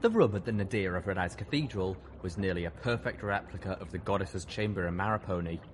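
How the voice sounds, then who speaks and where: A man's voice: low-pitched, fast, noisy background. A man reading scripted first-person fiction as an audiobook narrator.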